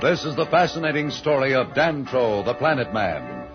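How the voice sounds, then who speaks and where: Dramatic male radio announcer over loud music. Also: medium pitch, sing-song, normal speed. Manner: grand, suspenseful, declamatory. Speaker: radio serial announcer and narrator. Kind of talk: dramatic 1950s radio narration. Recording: muffled vintage radio recording.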